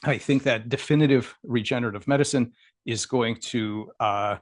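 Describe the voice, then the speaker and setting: A man giving a scientific lecture over slides, halting with many fillers and repeats, and losing his voice. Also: mid-range voice, dry recording. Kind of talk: academic talk over slides.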